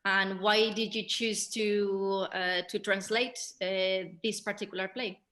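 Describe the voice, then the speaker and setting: Female Q&A moderator, bright voice, conversational and a little halting. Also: mid-range voice, steady tone. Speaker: moderator of a theatre Q&A. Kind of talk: conversational interviewing.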